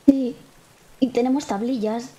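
A woman's voice: fairly high pitch, steady tone, a little slow, dark tone. A woman with a Spain accent improvising conversational in-character dialogue as a player in a tabletop role-playing game.

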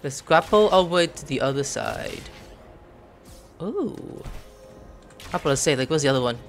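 Excitable young man gaming commentary. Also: a little high, normal speed, gravelly, soft background music. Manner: excitable, swears and shouts at enemies. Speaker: gaming streamer. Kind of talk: casual gameplay commentary.

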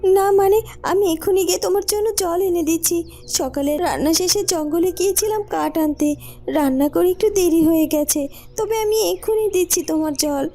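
Very high, plaintive young girl voiced by a woman. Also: normal speed, warm tone, soft background music. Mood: meek, apologetic. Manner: pleading, plaintive, submissive. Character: poor meek hungry orphan village girl, pitiful. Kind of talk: cartoon story acting.

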